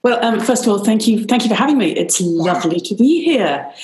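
A woman's voice: medium pitch, fairly quick, echoey room, rough recording. A middle-aged woman with a British accent, a business strategist guest chatting animatedly in an interview over a video call. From Ireland, raised in Pakistan and Nigeria. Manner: chatty, animated, frequent "rather lovely". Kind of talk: conversational interview.

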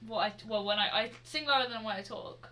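A young woman with a bright, forceful voice pitched a little high chats casually. She giggles often and restarts her sentences as she co-hosts. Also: brisk. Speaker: podcast co-host. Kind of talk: casual chat.

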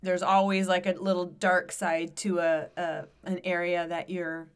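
A woman's voice: fairly low pitch, level tone, measured pace, smooth. A woman in casual conversation, supportive and agreeable, often hesitating and restarting as she talks. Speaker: conversation partner. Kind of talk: casual chat.

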